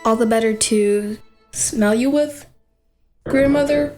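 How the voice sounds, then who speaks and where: High-voiced, polite fairy-tale girl. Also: takes it slowly, darkish tone, soft background music. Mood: eerily eager. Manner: formal storybook politeness. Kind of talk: audio drama acting.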